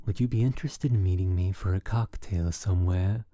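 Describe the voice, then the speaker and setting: A woman's voice: a bass voice, brisk, mellow, dry recording, clean studio sound, pitched below the performer's own voice. A woman voicing a young man in an audiobook who feigns a suave, mature, polite manner. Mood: suave, coaxing.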